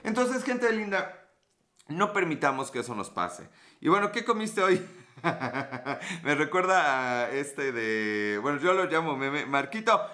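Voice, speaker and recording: Bright-voiced Mexican man on a livestream. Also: on the high side, very animated, takes it slowly. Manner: sarcastic, profane, greets viewers by name. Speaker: livestream host. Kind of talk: casual livestream chat with viewers.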